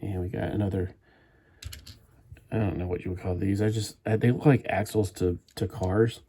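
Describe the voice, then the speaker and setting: Low, dark-voiced man rambling while building. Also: steady tone, normal speed, slight background noise. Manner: meandering, chatty, thinks aloud with frequent restarts. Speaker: streamer building a toy kit live. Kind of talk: rambling live-stream chat while building.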